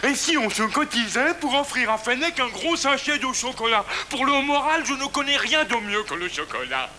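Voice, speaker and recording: A man voicing a chatty, smug, high-voiced animal townsperson in a French children's cartoon. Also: very animated, moderate pace, music in the background. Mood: eager.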